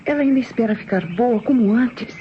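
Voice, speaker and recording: An actress playing a principled young Brazilian nurse, earnest and dramatic, in an old radio drama. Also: female voice, a little high, brisk, lightly acted. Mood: grave, pleading. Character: principled young nurse, alarmed and firmly protective. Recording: dull, noisy old radio recording.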